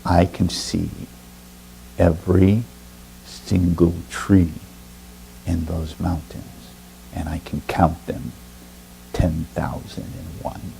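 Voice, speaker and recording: A man voicing a young blind boy in a spoken story, high and breathy with wonder. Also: little pitch movement, slow pace, pauses often, mellow, a little hiss or hum, exaggerated voice, poor audio. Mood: hushed.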